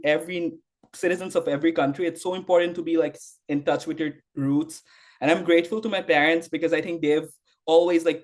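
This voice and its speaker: A man's voice: fairly high pitch, a little mellow. A young man from Bangladesh, a university student, answering interview questions casually with frequent fillers and restarts. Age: young adult. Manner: rambling, heavy use of "like", frequent restarts.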